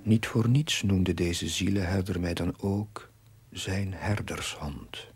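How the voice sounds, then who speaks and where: An actor playing an earnest, troubled young chaplain who narrates his diary in formal, measured phrases with a husky, breathy voice in a television drama. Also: deep voice, music in the background. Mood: solemn, reflective. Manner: measured, solemn, formal literary diction. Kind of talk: scripted dramatic voice-over narration.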